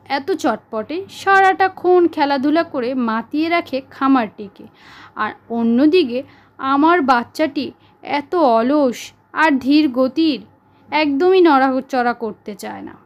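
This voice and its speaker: A woman voicing a fretful male donkey who slowly and sadly laments to his friend in a storybook tale. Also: high voice, animated, highs cut off, heavily acted. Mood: sorrowful. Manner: slow, pleading, lamenting.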